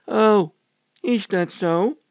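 A stubborn storybook labourer played by a man, pitched very high above a gravelly base. He speaks with mock calm and a taunting, needling insistence, very close and dry. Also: drawn-out words, no echo, full character voice. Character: needling, stubborn male road labourer who loves singing.

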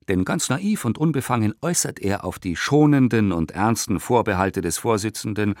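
A man's voice: fairly low pitch, darkish tone, no echo, clean studio sound. A male actor playing an indignant, pompous 1890s newspaper critic in a radio play, declaiming with scorn. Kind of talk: dramatic reading of an 1895 newspaper report in a radio play.

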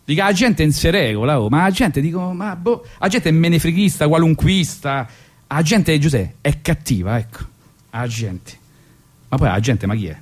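A man's voice: on the high side, lively intonation, normal speed. A man with a Roman accent hosting a radio show, telling comic stories in an ironic, rambling way with lots of asides. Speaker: radio host. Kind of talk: comic storytelling monologue. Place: radio studio.

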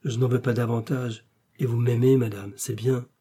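Man playing romantic duke, very mellow dark timbre, husky, fervent, theatrical, pleading, melancholy, storybook drama. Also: medium pitch, flat delivery, normal speed, lightly acted. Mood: exultant. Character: passionate, lovestruck English duke, reckless and melancholy.

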